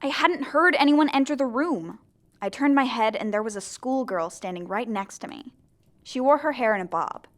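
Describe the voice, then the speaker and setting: A woman's voice: on the high side, lively intonation, moderate pace, lightly acted. A woman playing a bewildered teenage zombie girl who dramatically narrates her own story in an audio drama. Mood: wry storytelling. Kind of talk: scripted first-person audio drama narration.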